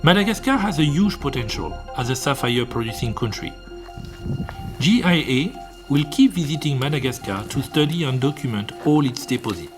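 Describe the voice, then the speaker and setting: A man reading a scripted documentary narration in a measured, formal way. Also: mid-range voice, expressive, music in the background.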